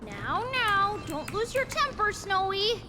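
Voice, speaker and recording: A woman's voice: very high voice, big pitch swings, slow, noisy background, full character voice. A woman voicing a cheerful, chatty little girl with a childlike voice in an anime game. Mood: excited. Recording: loud background music.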